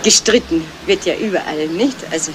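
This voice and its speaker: An actress playing a nosy gossip in a radio crime drama, rambling and insinuating, with constant tag questions. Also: female voice, fairly low pitch, fairly quick, lightly acted. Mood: gossipy, insinuating. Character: gossipy, nosy local woman, feigning discretion. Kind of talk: 1970s German radio crime drama acting. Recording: noisy old radio play recording.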